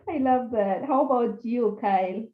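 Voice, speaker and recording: A woman hosting an online podcast panel, enthusiastic and quick to laugh, often repeating words as she introduces her guests. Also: fairly high pitch. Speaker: podcast host. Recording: echoey room over a tinny phone-like line.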